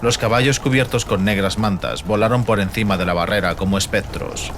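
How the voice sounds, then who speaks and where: A man reads a fantasy audiobook as narrator, with a solemn, measured and theatrical delivery. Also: lowish voice, noisy background. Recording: music bed under the voice. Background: music.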